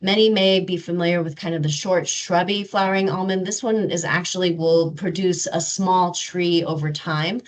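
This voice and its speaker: Lower-voiced woman giving a garden talk. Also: moderate pace, few pauses. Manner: relaxed, informative, frequent "um" fillers. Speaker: rose garden presenter. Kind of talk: webinar presentation.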